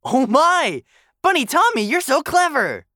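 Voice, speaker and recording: A woman voicing a motherly, excitable rabbit in a children's audio story. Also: fairly low pitch, measured pace, dry recording, clean studio sound, heavily acted. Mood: thrilled.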